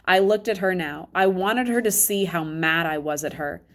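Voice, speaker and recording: Lower-pitched woman reading a story aloud. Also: moderate pace. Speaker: read-aloud reader. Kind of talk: expressive children's novel narration.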